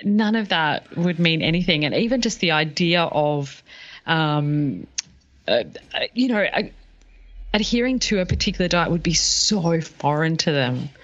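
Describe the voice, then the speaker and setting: A woman's voice: low-pitched, lively intonation, a little slow. A woman co-hosting a podcast, explaining things in a casual, conversational style on slightly lo-fi audio. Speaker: podcast co-host.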